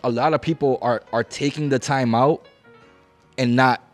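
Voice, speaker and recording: A man's voice: mid-range voice, steady tone, moderate pace, faint music behind. A young adult man hosting a podcast, talking in a loose, slangy, profane casual style. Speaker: podcast host.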